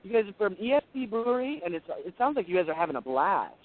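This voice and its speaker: A thin phone line brings in a flamboyant, high-pitched male caller, put on by a man for radio comedy. He gushes and boasts like a pampered globetrotter. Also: sing-song, very fast. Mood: breezy, enthusiastic. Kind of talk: comedy call-in bit. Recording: quiet tinny phone line.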